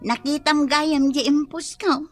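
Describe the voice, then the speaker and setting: A woman's voice: high voice, fairly even intonation, measured pace, faint music behind. An actress with an Ilocano accent playing an aloof, snappy young woman in a radio drama. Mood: teasing.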